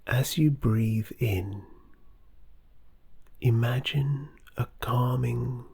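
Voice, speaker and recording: A meditation guide speaking softly and unhurriedly, with long pauses between short phrases. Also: mid-range voice, vocal fry, slightly rough. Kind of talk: slow guided meditation narration.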